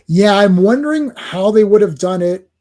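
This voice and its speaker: A man's voice: high-pitched, lively intonation, moderate pace, a little mellow. A man hosting a casual comics livestream, chatting through a comic and greeting viewers in the chat.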